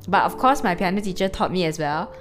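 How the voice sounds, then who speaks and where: Young woman host, low-voiced, rambling and casual, clear music bed underneath. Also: lively intonation, a little fast. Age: early 20s. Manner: chatty, rambling, full of fillers and restarts. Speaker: podcast host. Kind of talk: casual personal monologue. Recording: background music clearly audible.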